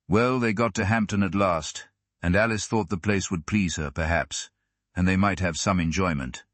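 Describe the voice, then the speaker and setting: A man's voice: low-pitched, moderate pace, fairly bright tone, no room sound. A man reading classic fiction aloud as scripted audiobook narration. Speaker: audiobook reader.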